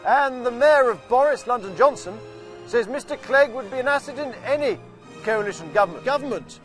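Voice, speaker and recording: A man narrating a mock public information film in a cheery, deadpan satirical manner. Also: extremely high pitch, fairly quick. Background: music.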